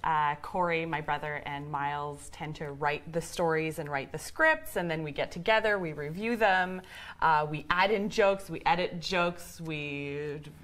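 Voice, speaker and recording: A woman's voice: deep voice, a little slow, fairly bright tone. Woman in her thirties, casual, conversational, interview guest. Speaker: comedy writer and performer, interview guest. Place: TV studio, standing.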